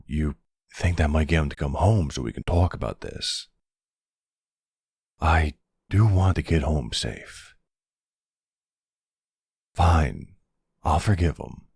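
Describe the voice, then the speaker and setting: Man playing a very deep, gravelly, charming mafia boss. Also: a little mellow, no echo, studio quality, full character voice. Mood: firm, relenting. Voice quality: gravelly, husky.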